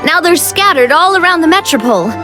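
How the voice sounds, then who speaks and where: A woman's voice: very high voice, animated, a little fast. A woman playing a confident, upbeat young female leader in a video game, breezy and self-assured in her delivery. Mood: informative. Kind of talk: animated game dialogue. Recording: music playing behind the voice. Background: game music.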